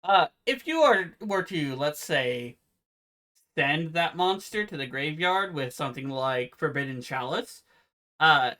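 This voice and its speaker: A man co-hosting a casual podcast, with a naturally quiet voice, explaining card game rules in a relaxed, meandering way. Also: fairly high pitch, a little slow. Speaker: podcast co-host. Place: home room with fan noise removed by software.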